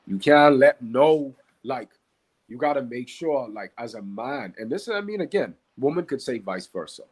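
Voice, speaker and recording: A man from the Bahamas with a Bahamian accent and a slightly husky voice, teaching conversationally and emphatically, repeating key phrases and asking rhetorical questions. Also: mid-range voice, expressive, normal speed. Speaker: podcast guest, Qigong practitioner and teacher.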